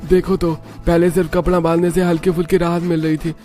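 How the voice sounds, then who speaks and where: Cartoon villager: tired, friendly working man, pitched up, acted by a man. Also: flat delivery, fast talker, dark tone, natural voice. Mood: weary relief.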